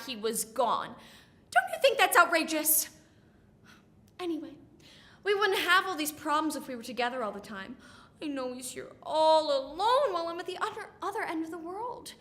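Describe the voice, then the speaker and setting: Lovesick farce heroine, woman actor: stubborn, exasperated, dramatic, high and sharp. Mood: lovesick, worried. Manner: exasperated, dramatic, emphatic, swinging to hushed. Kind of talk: theatrical comic monologue.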